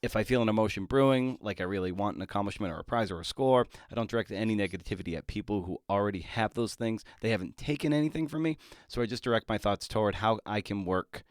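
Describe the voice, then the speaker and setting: A man hosting a conversational interview podcast, asking informal, slightly rambling questions in a halting way. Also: lowish voice, dry recording, studio quality. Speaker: podcast host interviewing an author.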